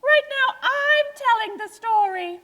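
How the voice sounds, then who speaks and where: A woman playing a stern, scolding village mother in a children's puppet show, bossy, with drawn-out, exaggerated delivery. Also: extremely high pitch, expressive, background noise.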